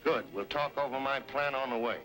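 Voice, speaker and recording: A man plays a sly, self-assured cowboy henchman in a vintage western serial. His mid-pitched voice is sharp and brisk, on an old radio recording with faint music. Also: close to an everyday voice. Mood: brisk, organizing. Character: sly, confident male western henchman posing as ally. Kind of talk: 1930s-40s western serial acting.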